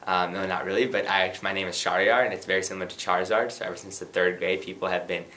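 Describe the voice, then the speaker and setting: A young man in his twenties from Pakistan, a student candidate giving an earnest campaign pitch and then answering light interview questions. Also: steady tone, bright, forceful tone. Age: 20s. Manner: earnest, rapid and persuasive.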